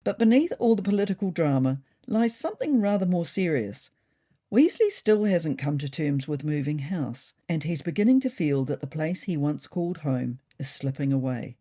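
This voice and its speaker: Female audiobook narrator: measured and expressive, soft-edged timbre, lots of pitch movement in scripted first-person stories.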